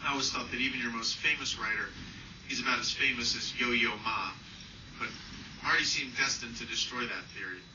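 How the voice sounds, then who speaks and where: A man's voice: medium pitch, brisk. A man who is an author reading a personal essay aloud live, with a wry, self-deprecating manner, recorded from a distance indoors. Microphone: distant from the speaker. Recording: distant, roomy, muffled, noisy live recording.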